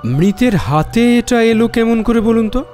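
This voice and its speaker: An actor playing a polite, sharp, self-assured amateur detective in an audio drama, speaking in a measured, quietly probing way. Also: male voice, very high-pitched, expressive, faint music behind. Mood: amused, probing.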